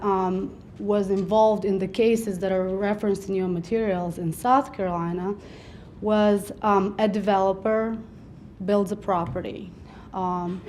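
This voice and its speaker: A woman from Romania, an attorney giving a measured seminar presentation with frequent pauses. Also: medium pitch, a little mellow, slight background noise. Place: seminar room.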